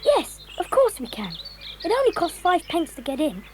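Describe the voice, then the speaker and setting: Woman as high-voiced, brisk, sensible schoolboy. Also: wildly swooping pitch, very noisy, heavily acted. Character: sensible, cheerful schoolboy, leader of the children. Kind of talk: children's audio drama acting.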